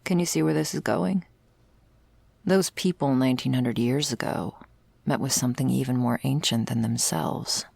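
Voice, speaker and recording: Woman acting a husky, creaky, sardonic vampire investigator. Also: very deep voice, normal speed, dry recording, heavily acted. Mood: dry, confiding. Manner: dry, sardonic, swearing, hushed in places. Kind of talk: ASMR roleplay, intimate dramatic monologue.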